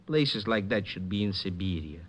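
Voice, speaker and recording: An actor playing an excitable, grumbling Russian comic schemer with a theatrical, wisecracking delivery in old radio drama. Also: male voice, sits low, normal speed. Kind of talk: 1950s radio comedy-drama acting. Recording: dull old radio recording.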